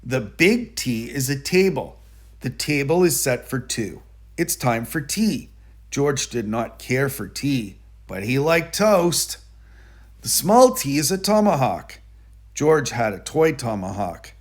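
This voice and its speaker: A man narrating a children's picture book aloud in a deliberate, explanatory manner. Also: medium pitch, sing-song. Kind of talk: children's storybook read-aloud.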